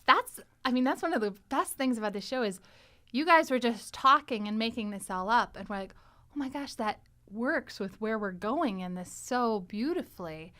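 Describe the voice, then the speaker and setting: High-voiced woman chatting animatedly. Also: normal speed. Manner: animated, chatty, often echoing agreement. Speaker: podcast host. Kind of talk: casual group chat.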